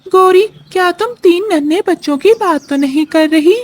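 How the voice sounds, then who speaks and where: A woman voicing a small, talkative parrot in a children's cartoon, with a squeaky, earnest delivery. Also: moderate pace. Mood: concerned. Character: small talkative parrot bringing grave news. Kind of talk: Hindi children's cartoon voice acting.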